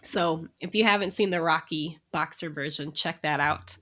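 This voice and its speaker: A woman's voice: deep voice, moderate pace, fairly bright tone. Chatty woman podcast co-host. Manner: chatty, laughs often between remarks. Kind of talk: casual chat.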